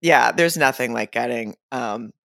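A woman's voice: a bass voice, a little slow, creaky, a bit bright, dry recording, studio quality. A woman co-hosting a podcast, chatting casually and rambling through stories with laughs between points. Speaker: podcast co-host. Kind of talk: casual recap chat.

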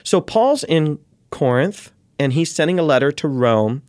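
A man speaking as a guest scholar in an interview, explaining in an animated way and acting out questions and quotes. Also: a little high, unhurried, dry recording, clean studio sound.

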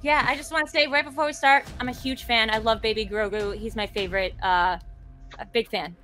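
A man putting on a high, playful voice as a trivia contestant. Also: steady tone, bright, forceful tone, faint music behind. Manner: put-on high voice, quick and playful. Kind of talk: game show banter.

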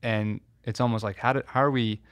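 A man talking as a guest in a casual conversation, telling lively stories and acting out his own nervous reactions. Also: fairly low pitch, fairly quick, clean tone, no echo. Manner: animated storyteller, acts out his own nervous voice. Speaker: podcast guest, author.

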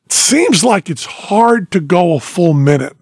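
A man's voice: high-pitched, expressive, unhurried, gravelly, a little mellow, breathy edge, no room sound, clean studio sound. A middle-aged man from Western Massachusetts with a faded New England accent, chatting casually as a podcast co-host, laughing often and trailing off mid-sentence. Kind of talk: casual chat, storytelling.

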